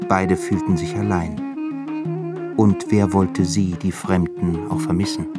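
A man performing as a measured, literary narrator in a scripted radio drama. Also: sits low, fairly even intonation. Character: measured male narrator of an epic novel. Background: prominent music.

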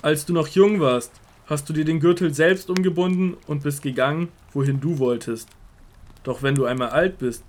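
A man performs the risen Jesus as a calm, authoritative teacher in a Bible radio play. His fairly high voice is measured and solemn, tender yet commanding. There is clear noise behind him. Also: lightly acted. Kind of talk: audio drama Bible acting.

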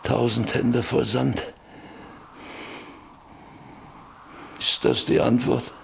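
An actor playing a bitter, haunted old rancher in a German radio play, brooding haltingly between whispers and outcries. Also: male voice, medium pitch, level tone, vocal fry, a little hiss or hum. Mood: bitter despair. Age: older.

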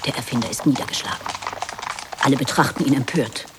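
A man's voice: medium pitch, fast talker, background noise, natural voice. A man playing a matter-of-fact narrator who reads stage directions in a radio play.

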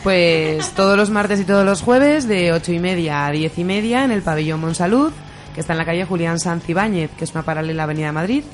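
A woman's voice: on the low side, fast. Spanish woman guest over faint music. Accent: Spain. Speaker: interview guest, roller derby player. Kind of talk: casual radio interview.

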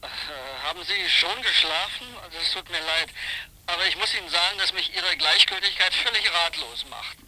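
A man's voice: high voice, very animated, brisk, vocal fry, bright, forceful tone, a little hiss or hum, full character voice, low-quality recording. An actor playing a flustered, aggrieved man making a complaint in a radio drama. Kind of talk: German radio drama acting.

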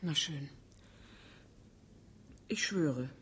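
A reluctant woman witness in a German radio drama. Her voice is slightly low and breathy, and she speaks very slowly. She sounds uneasy and halting. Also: a bit bright, exaggerated voice. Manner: hesitant, halting. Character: reluctant, uneasy female witness, a paid companion.

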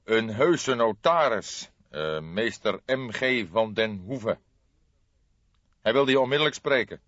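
A man voicing a grumpy, reproachful police desk sergeant in an audiobook, loud and emphatic. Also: lowish voice, lively intonation, takes it slowly, no room sound, dull audio, pitched above the performer's own voice, heavily acted. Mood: grudging, informative.

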